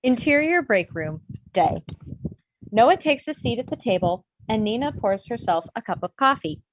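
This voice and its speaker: A woman's voice: on the high side, big pitch swings, normal speed, highs cut off. A woman narrating stage directions in a scripted comedy screenplay reading.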